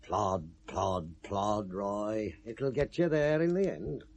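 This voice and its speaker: A man's voice: fairly low pitch, takes it slowly, a little mellow. A man acting in a 1970s British radio drama, playing a dry, world-weary police detective who questions probingly. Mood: weary, resigned. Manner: wry, questioning. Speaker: actor in a radio play.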